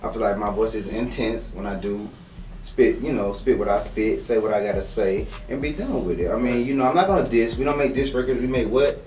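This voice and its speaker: A young man, a rapper, talking about his music in a confident, animated, slangy way. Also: mid-range voice, a little fast, a little hiss or hum. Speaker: rapper, interview guest. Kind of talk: casual interview chat. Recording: echoey room, distant mic, faint music.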